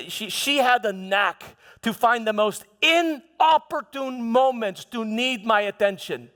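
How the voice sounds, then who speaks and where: Man playing a high-voiced troubled Roman governor, echoing hall. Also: exaggerated voice. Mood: rueful. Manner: halting, repeats words, swings from hushed to shouting. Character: proud, troubled Roman governor recounting a trial, defensive and frustrated. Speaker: preacher performing a dramatized monologue. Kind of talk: live theatrical first-person monologue. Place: large church hall, live performance. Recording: echoey hall with long reverb.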